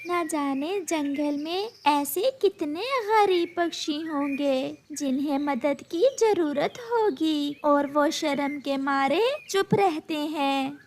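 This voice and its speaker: A woman narrating a children's cartoon story in slow, clear storytelling. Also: sits high, a little mellow, a little hiss or hum.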